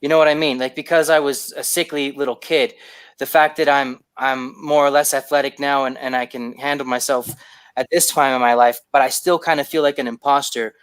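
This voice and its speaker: A man with a smooth, clear voice of middling pitch answers interview questions as a writer. He explains things thoughtfully and haltingly, restarting phrases, in a dry, close recording. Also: steady tone, fairly bright tone, no echo. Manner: halting, explanatory, repeats words as he formulates. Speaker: guest, fiction writer.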